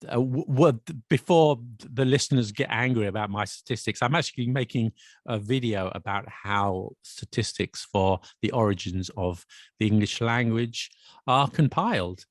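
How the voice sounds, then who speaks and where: Chatty English-teacher podcast host, dry sound. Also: male voice, on the low side, no room sound. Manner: chatty, restarts and laughs, quick asides. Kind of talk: casual podcast chat about language. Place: Paris.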